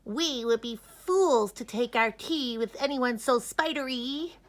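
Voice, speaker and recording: A woman acts a haughty bumblebee from a children's storybook. The voice is pushed up high and sounds wary and dismissive. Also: takes it slowly. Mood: scornful.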